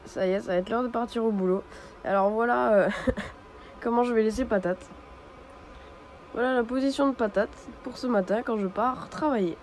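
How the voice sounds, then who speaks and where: Young woman vlogger with a cold, hesitant casual chat on a phone mic, higher voice with a mellow tone. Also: normal speed, pauses often, a little hiss or hum. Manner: chatty, hesitant with many fillers. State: stuffy nose from a cold. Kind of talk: casual vlog chat to camera.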